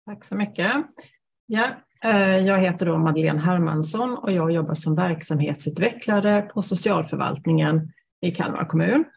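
A woman with a husky, gravelly voice introduces herself at a seminar over a video call. Her voice sits low, with a rough edge to it. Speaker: development officer at social services.

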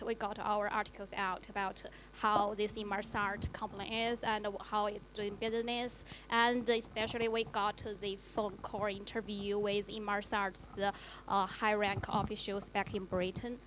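Non-native woman panelist, hesitant, mid pitch, lecture-hall mic with faint hum. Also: level tone, a bit bright. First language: Chinese. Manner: halting, many fillers. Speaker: panelist, journalist. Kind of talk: panel discussion.

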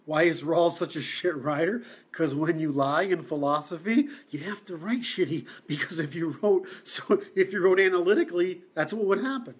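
Halting, opinionated man on a livestream. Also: a little high. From Seattle. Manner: halting, many fillers and restarts; blunt, opinionated. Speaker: livestream host. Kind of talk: casual livestream monologue answering comments.

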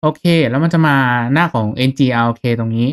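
A man narrating a step-by-step software tutorial in a casual, conversational, instructional way. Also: medium pitch, normal speed. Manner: instructional, conversational with polite particles. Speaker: tutorial host.

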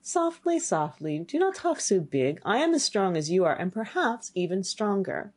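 A woman's voice: mid-range voice, sing-song, normal speed, a little mellow, slightly rough. A woman voicing a fearless, naive, cheerful young man in a fairy tale, eager and boldly unbothered. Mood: bold, unafraid.

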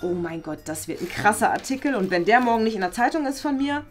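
A woman's voice: on the high side, lively intonation, quick pace, music in the background, lightly acted. A young woman playing an eager, ambitious schoolgirl journalist in a children's comedy skit, flustered and stumbling with enthusiasm. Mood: thrilled. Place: small classroom.

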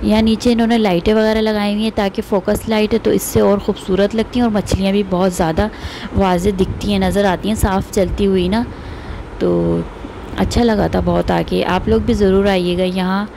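A woman's voice: mid-range voice, flat delivery, moderate pace, creaky, noisy background. A woman, casually narrating her family outing for a vlog and recommending the place. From Pakistan. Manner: chatty, recommending, pious phrases. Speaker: vlogger.

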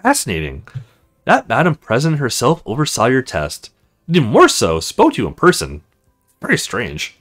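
Blunt young female student read by a man, visual novel, emphatic and very animated, faint music behind. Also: medium pitch, moderate pace, heavily acted. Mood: curious, incredulous. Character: blunt, moody young woman, academy student.

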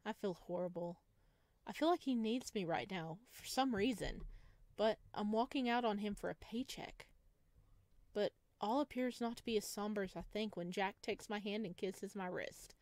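A woman's voice: medium pitch, normal speed, darkish tone, dry recording. Woman reading a story aloud, dry sound. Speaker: gaming video host. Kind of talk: expressive read-aloud narration of a visual novel with casual asides.

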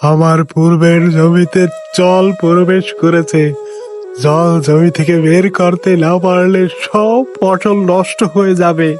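A man's voice: high voice, flat delivery, slow, darkish tone, faint music behind. A man voicing a desperate farmer neighbor who begs tearfully for help. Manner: tearful, begging.

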